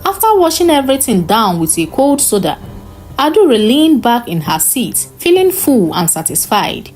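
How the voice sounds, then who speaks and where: A woman narrating a scripted folktale in an expressive, dramatic storytelling style. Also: on the high side, moderate pace, faint music behind, rough recording.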